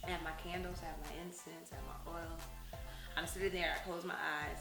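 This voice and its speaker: A woman co-hosting a casual talk show, chatting animatedly and sometimes slipping into a soft, soothing voice. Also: low voice, level tone, fairly quick, roomy sound, music in the background. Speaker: podcast co-host. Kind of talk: casual chat.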